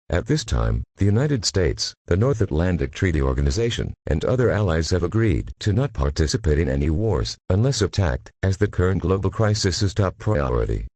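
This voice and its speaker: A man's voice: extremely low pitch, darkish tone, slightly rough, dry recording. A man announcing a formal scripted emergency bulletin in an official, impersonal manner.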